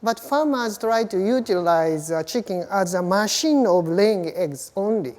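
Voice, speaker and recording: A woman's voice: mid-range voice, lively intonation, measured pace, darkish tone. A woman from Japan speaking English with a Japanese accent in a documentary interview. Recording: long reverberant hall echo.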